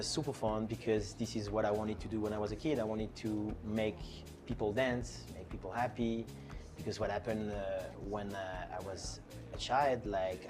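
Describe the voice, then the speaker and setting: A man's voice: mid-range voice, a little mellow. A man from France with a French accent, a DJ chatting casually in an interview, with lots of fillers and restarts. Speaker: DJ and producer guest.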